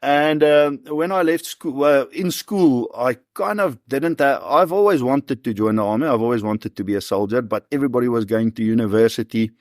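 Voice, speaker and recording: A man's voice: mid-range voice, moderate pace, hardly any pauses, darkish tone, no room sound, studio quality. A man in his forties with a South African accent, telling stories in an easygoing, conversational way as an interview guest. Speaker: professional hunter and former soldier, interview guest.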